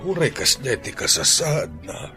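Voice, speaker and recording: A man's voice: mid-range voice, big pitch swings, moderate pace, over music. A Filipino man with an Ilocano accent playing a concerned, chiding older father and grandfather in a radio drama.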